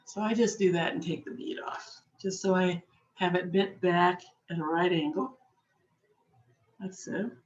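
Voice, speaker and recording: Woman, craft instructor, unhurried, slightly hoarse, dull lo-fi camera audio. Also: lowish voice, steady tone. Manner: patient, chatty, talks while working with her hands. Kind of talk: slow step-by-step craft demonstration.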